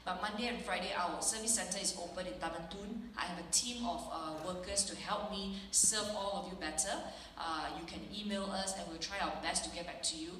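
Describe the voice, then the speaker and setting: A woman's voice: fairly low pitch, fairly even intonation, fairly quick, fairly bright tone. A woman with a Malaysian accent giving a town hall speech as an elected representative, emphatic and plain-spoken. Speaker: elected representative addressing constituents. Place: large indoor hall at a public meeting. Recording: very echoey hall, distant, faint noise.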